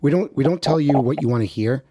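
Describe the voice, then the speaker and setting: A man hosting a podcast in casual chat, blunt and animated, often restarting his sentences. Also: mid-range voice, quick pace, husky. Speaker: podcast host.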